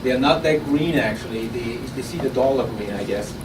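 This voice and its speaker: Austrian-accented man pitching, distant in an echoey room. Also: brisk. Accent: Austrian German. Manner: conversational with frequent restarts and repeated words. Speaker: startup founder pitching. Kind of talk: live pitch presentation. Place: conference room at an innovation event. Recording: roomy, distant, noisy audience recording.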